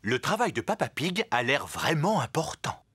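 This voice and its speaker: An actor playing the storybook narrator of a preschool cartoon, telling it in clear, simple, emphatic sentences. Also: male voice, on the high side, sing-song, moderate pace, a bit bright, full character voice. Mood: explanatory. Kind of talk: scripted children's cartoon narration.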